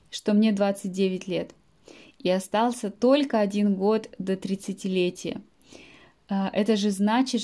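A woman in her late twenties speaks to her language students with a warm, mellow tone. She talks in a measured podcast monologue, often breaking off to define a word in plain language, with soft fillers between thoughts. Her voice sits at an ordinary middle pitch. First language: Russian. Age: late 20s. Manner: explains words in simple terms, with frequent soft fillers. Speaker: Russian language teacher.

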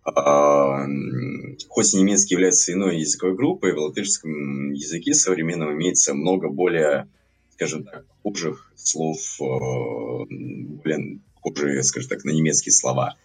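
A man's voice: a bass voice, normal speed, husky. A young man from Latvia, a podcast guest, talking casually and rambling with many fillers and hedges.

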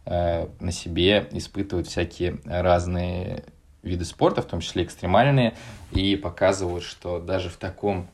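A man's voice: low voice, steady tone, moderate pace. A man hosting a football podcast, talking casually through match analysis with frequent hesitations. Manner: hesitant, many "э" fillers. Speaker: podcast host.

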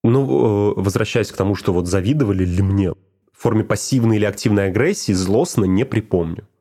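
A man's voice: fairly low pitch, a little fast, dark tone. A man talking casually and reflectively, often repeating phrases for emphasis. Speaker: teacher of speech exercises.

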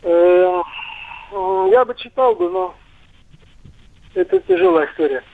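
A man's voice: very high-pitched, wildly swooping pitch, unhurried, vocal fry, no room sound. A man in his fifties calling into a radio show on a thin telephone line, answering hesitantly and casually. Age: 50s. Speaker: phone-in caller.

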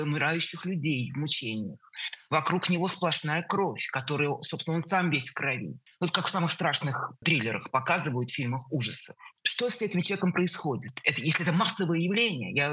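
A woman's voice: low voice, sharp tone, breathy edge. A woman hosting a podcast who asks probing questions, hesitating and searching for her words. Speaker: podcast host. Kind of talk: interview questions.

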